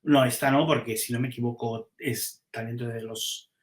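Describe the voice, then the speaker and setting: A man's voice: mid-range voice, fairly even intonation, normal speed, roomy sound. A man, a comics publisher, chatting casually on a podcast and thinking aloud as he weighs which titles to publish, with frequent restarts.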